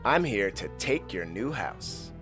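A man's voice: on the low side, very animated, slow, music in the background. An actor playing a guileless, earnest young wannabe businessman in a comedy radio play. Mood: blithely pushy. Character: naive, eager young bearded wannabe businessman.

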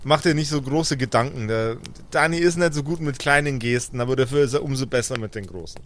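A man's voice: mid-range voice, a little fast, a little hiss or hum. A man playing in an improvised tabletop role-play, speaking casual, slangy, sweary dialogue with emphasis.

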